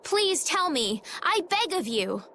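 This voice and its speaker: An actress playing a gentle, determined young girl in a fantasy game, speaking in a formal, heartfelt, pleading way. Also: extremely high pitch, sing-song, slow pace, slight background noise, heavily acted. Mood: earnest, afraid. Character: gentle, earnest teenage girl healer, politely determined. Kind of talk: dubbed fantasy game voice acting.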